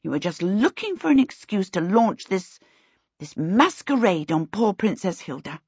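Woman playing a fierce, husky scolding wife. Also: medium pitch, big pitch swings, normal speed, a little mellow, no echo, dull audio, heavily acted. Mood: indignant. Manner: fierce, passionate, cutting. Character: fierce, exasperated married woman, scolding and sarcastic.

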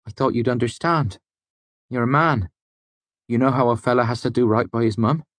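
A man voicing an eager sixteen-year-old smith's apprentice, earnest and quick to bristle when called a boy. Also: mid-range voice, fairly bright tone, no room sound, pitched above the performer's own voice, lightly acted. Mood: pleading. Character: teenage male smith's apprentice, eager, defensive.

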